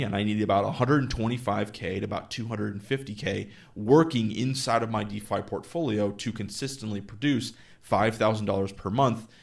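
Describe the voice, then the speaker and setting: A man in his thirties with a low voice that often drops into vocal fry. He explains his money goals in an easygoing, off-the-cuff way, restarting sentences and slipping into quoted back-and-forth with the people he advises. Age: 30s. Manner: conversational, thinks aloud with fillers and restarts; acts out dialogue with clients in quotes. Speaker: investing channel host. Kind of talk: casual explainer monologue.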